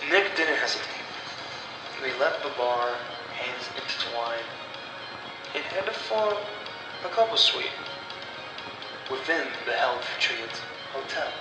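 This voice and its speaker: A man narrating a scripted horror story as the storyteller, in a deliberate, dramatic way with pauses. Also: medium pitch, expressive. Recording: echoey, distant-sounding voice over music. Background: music.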